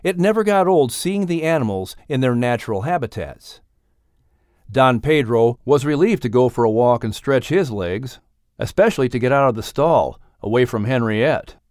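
Animated male audiobook narrator. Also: mid-range voice, moderate pace, no room sound, clean studio sound. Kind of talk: scripted children's story narration.

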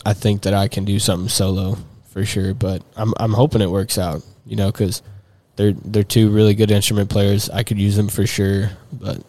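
A man's voice: lowish voice, flat delivery, normal speed, few pauses, a little mellow, no echo. Drawling young man, dry close sound. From Texas. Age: young adult. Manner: rambling, many fillers and restarts. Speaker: guest. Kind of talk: casual chat.

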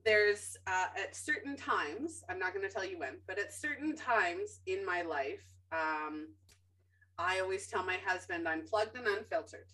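A woman's voice: mid-range voice, expressive, unhurried, pushed and bright, recorded from a distance, low-quality recording. A woman hosting a podcast in a casual, chatty way, opinionated and emphatic, with lots of ums and self-corrections. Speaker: podcast host.

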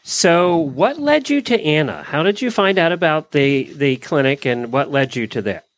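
Male interview host, fairly high voice, animated intonation, casual and halting, dry sound. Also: no room sound.

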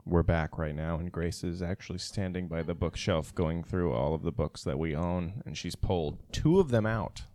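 A man hosting a relaxed chat, joking and teasing as he asks questions. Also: deep voice, normal speed, few pauses, no echo, studio quality. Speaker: host. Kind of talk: casual chat.

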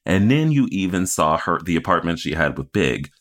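A man co-hosting a podcast in casual comedic recap chat, playful, crude and quick to swear. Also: low-pitched, very animated, fairly bright tone. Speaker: podcast co-host.

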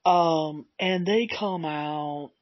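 A man hosting a solo podcast, recapping in a rambling way with fillers, his voice getting a bit raspy. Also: fairly high pitch, drawn-out words, no echo, lo-fi sound. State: slightly raspy voice. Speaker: podcast host.

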